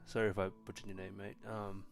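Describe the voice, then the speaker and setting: A man's voice: low voice, fast, creaky, faint music behind. A young man, born in China, hosting a casual tech live stream, answering chat questions with lots of ums and restarts. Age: young adult. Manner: relaxed, frequent ums and restarts.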